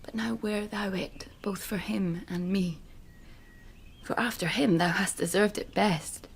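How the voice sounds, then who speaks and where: A woman's voice: medium pitch, steady tone, unhurried, vocal fry, breathy edge. An actress playing a proud, grieving young Spanish noblewoman in a classical verse radio drama. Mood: tender, grieving.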